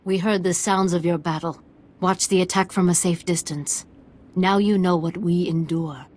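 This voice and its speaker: Low-pitched proud, weary matriarch, played by an actress. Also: female voice, fairly even intonation, normal speed, a little mellow, natural voice. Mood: bitter, proud. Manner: sardonic, stately, weary. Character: proud, bitter, ailing female village matriarch. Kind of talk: video game dialogue acting.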